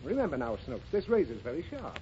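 A man's voice: mid-range voice, huge pitch swings, fast, warm tone. A man playing an exasperated, easily flustered father in an old radio comedy, explaining things fussily. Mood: flustered, irritated. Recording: muffled, very noisy old radio recording.

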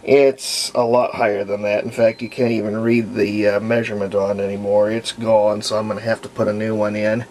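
A man giving casual hands-on repair commentary as a hobbyist, thinking aloud in a halting way with folksy wording. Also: fairly low pitch, level tone, darkish tone. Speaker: hobbyist radio restorer. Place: indoor workbench. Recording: noisy handheld camera audio.

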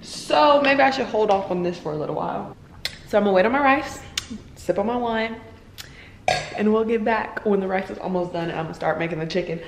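A woman's voice: mid-range voice, expressive, fairly quick, vocal fry. A young woman in her twenties, chatting playfully to viewers as she cooks at home, filmed by a camera on the counter. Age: 20s. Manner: chatty, playful, talks to viewers while cooking. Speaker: vlogger. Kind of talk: casual cooking vlog chat. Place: home kitchen, indoors. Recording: echoey kitchen with frying noise.

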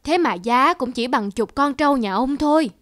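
A woman voicing a persuasive, boastful male horse seller in an animated folk tale, coaxing. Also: sits high, moderate pace, no echo, clean studio sound.

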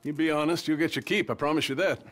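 A man playing a stern but fair ranch owner in a video game, speaking in a formal, authoritative, measured way. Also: fairly high pitch, steady tone, fairly bright tone, faint music behind, close to an everyday voice. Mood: stern, approving. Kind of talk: video game cutscene acting.

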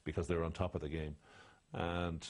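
A man giving studio rugby analysis, blunt and indignant, sinking quieter when he condemns something. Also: deep voice, flat delivery, brisk.

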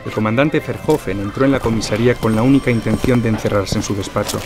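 A man's voice: fairly low pitch, fast, music in the background. A man reading scripted narration for a crime fiction audio drama.

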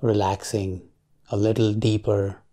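A man leading a guided meditation in a calm, measured way, leaving long pauses between short phrases. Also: fairly low pitch.

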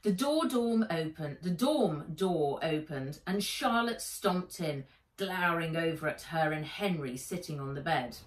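A middle-aged woman narrates a children's book at an unhurried pace. Her delivery is deliberate and expressive, slightly low in pitch, with echo from the room. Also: distant mic. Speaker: author reading her own book. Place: indoors, living room or garden room at home. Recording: roomy, echoing home recording.

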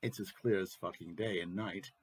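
A man's voice: low voice, steady tone, moderate pace, slightly rough. A man voicing a crude, boastful president in his sixties, ranting emphatically down the phone in a satirical stage monologue. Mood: bitter boasting. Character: crude, bitter, boastful 68-year-old male American president.

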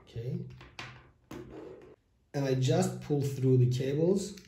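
A man in his thirties hosting a step-by-step electronics build tutorial, with halting phrasing and fillers, filmed at a table in a small room. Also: mid-range voice, dark tone, slight background noise. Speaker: DIY electronics channel host. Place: small room with whiteboard, indoors. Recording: echoey room.

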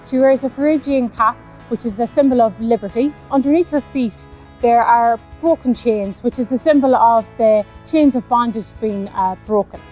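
An Irish woman in her thirties, a tour guide, narrating a scripted walking tour outdoors on a town street in a clear, measured way, recorded on a lapel mic. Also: on the high side, a little mellow, faint music behind, poor audio. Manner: clear, measured storytelling delivery.

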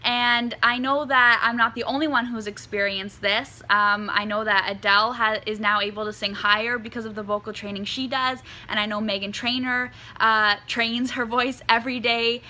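Bright-voiced young woman, slightly croaky. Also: on the high side, fairly even intonation, moderate pace, hardly any pauses. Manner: earnest, chatty, many "um"s. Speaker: singer and vlog host. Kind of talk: casual advice talk to camera. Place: indoors in front of a dark curtain.

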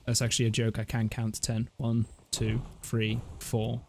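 A man chatting casually in a game voice chat, joking in a deadpan way. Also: medium pitch, normal speed, creaky, background noise. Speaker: gamer and video creator trolling teammates.

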